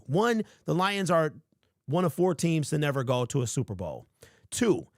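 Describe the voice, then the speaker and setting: A man in his forties hosting a casual sports talk show, reading viewer comments and rambling with lively emphasis. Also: on the high side, no echo, studio quality. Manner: animated, rambling, calls listeners bro. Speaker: sports podcast host.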